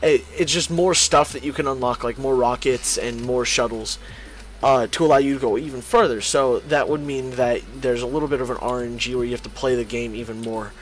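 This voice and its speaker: A man talking casually to viewers while he draws, his voice hoarse and strained from hours of talking and his thoughts rambling along as he goes. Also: mid-range voice, fairly even intonation, brisk. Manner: rambling, thinks aloud. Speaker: YouTuber drawing on stream. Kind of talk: casual commentary while drawing.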